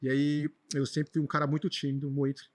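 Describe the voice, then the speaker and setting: A man with a Brazilian accent speaks very quickly in a slightly high voice. He tells personal stories in an informal, conversational way, repeating words for emphasis as an interview guest. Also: completely flat delivery. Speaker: podcast guest, traveler and speaker.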